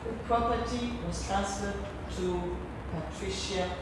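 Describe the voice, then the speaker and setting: A woman playing an imperious, scheming middle-aged wife and mother in a stage play, theatrical and deliberate. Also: mid-range voice, lightly acted. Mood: mocking, calculating. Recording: distant, echoey, noisy theatre recording.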